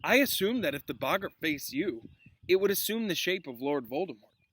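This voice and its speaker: A man reading a storybook as a kindly, thoughtful wizard teacher, in a gentle conversational manner, recorded outdoors. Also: a little high, very animated, moderate pace, no echo, pitched above the performer's own voice, exaggerated voice. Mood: reflective. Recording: clearly noisy outdoor recording.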